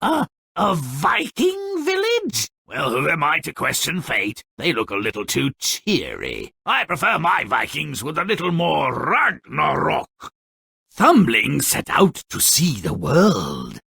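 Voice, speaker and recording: A sardonic little gnome narrating twisted storybook tales for a video game. The voice is gravelly and sharp-edged, and it swings wildly up and down in pitch. He drawls theatrically, savoring every nasty detail. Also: huge pitch swings, takes it slowly, dry recording, heavily acted. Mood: manic glee. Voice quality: raspy, gravelly.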